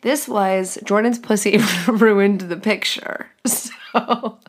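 A woman's voice: medium pitch, takes it slowly. A young adult woman hosting a podcast, telling a crude story candidly and casually, laughing often. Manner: giggly, frank and crude, lots of laughing asides. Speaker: podcast host.

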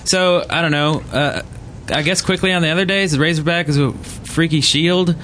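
A man's voice: a little high, a little fast, a bit bright. A man hosting a casual group chat at a live event, speaking in a loose, hesitant way. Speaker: podcast host. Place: live podcast recording at a gaming convention. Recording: live convention room, faint background noise.

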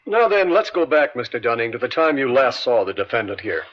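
An actor playing an insistent district attorney in an old radio drama, questioning in a deliberate, theatrical way. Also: male voice, medium pitch, sing-song. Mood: probing, pointed. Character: probing male district attorney, insistent and pointed. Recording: dull lo-fi vintage radio.

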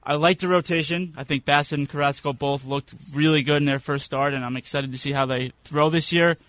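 A young man, a radio producer, giving easygoing, measured sports opinions. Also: on the high side, fairly even intonation, fairly bright tone, no room sound. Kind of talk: casual sports chat.

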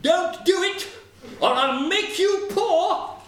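A man's voice: very high voice, very animated, slow pace, sharp tone, voice pushed up, heavily acted. A man voicing a small, feisty male sparrow in an insistent, exclamatory piping voice for a storybook tale. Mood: outraged. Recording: roomy, distant mic, faint noise.

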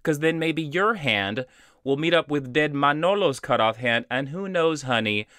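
Man, podcast co-host, bright tone, dry close mic, animated casual retelling. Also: a little high, moderate pace, no echo. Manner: animated retelling with quoted voices and laughs. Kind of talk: casual chat recapping a movie.

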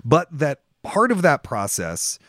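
A man's voice: on the high side, wildly swooping pitch, a little slow, fairly bright tone, dry recording, studio quality. A man co-hosting a podcast, talking casually and emphatically about creative work, with informal and sometimes salty language. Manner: emphatic, informal, mildly profane. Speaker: podcast co-host and writer.

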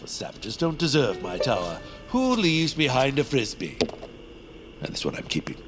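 An actor playing a pompous, scheming villain baron in a family audio drama, theatrical, grandiose and fussy. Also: male voice, medium pitch, wildly swooping pitch, normal speed, loud music behind the voice. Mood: fussy indignation. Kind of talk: audio fiction cartoon-like villain acting.

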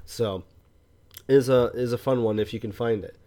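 A man co-hosting a film podcast in casual conversation, often halting mid-thought as he talks. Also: mid-range voice, steady tone, dark tone. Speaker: podcast co-host and film reviewer.

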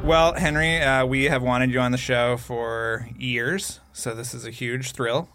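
A man's voice: medium pitch, fairly even intonation, a little slow, loud music behind the voice. A man co-hosting a podcast, chatting casually and a little loosely. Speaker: podcast co-host.

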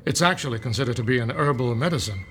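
A man's voice: mid-range voice, lively intonation, fast, a bit bright, slight background noise. An actor playing a male professor who lectures patiently about fungi in an audio drama. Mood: lecturing. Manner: lecturing, explanatory. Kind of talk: modern audio drama acting.

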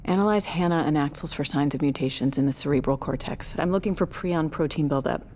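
A driven female scientist from an audio drama, played by an actress. Her voice is markedly low for a woman. She talks in blunt, demanding phrases, with faint ambient noise behind her. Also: fairly quick, darkish tone, lightly acted. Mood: alarmed. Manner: terse, demanding.

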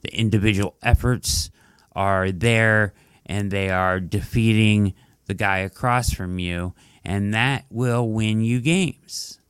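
A man's voice: fairly low pitch, fairly even intonation, slow, smooth, no room sound. A man hosting a casual sports podcast who is losing his voice, talking through his analysis with lots of "like" and "right?". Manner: conversational, fillers like "like" and "right?". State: losing his voice, dry throat. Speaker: podcast host.

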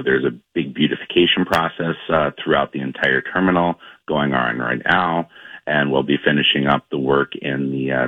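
A man's voice: a bass voice, breathy edge, no room sound, narrow, tinny audio. A man answering informally as an interview guest, promoting the place he works for. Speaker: interview guest, airport commission representative.

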